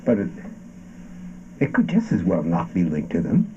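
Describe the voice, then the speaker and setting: An actor playing an anguished philosophical man haunted by his body, declaiming haltingly in an avant-garde stage drama. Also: low voice, wildly swooping pitch, dark tone. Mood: insistent. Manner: halting, theatrical, swooping declamation. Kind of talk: avant-garde theatrical performance of a radio play. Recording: dull, muffled stage recording with noise.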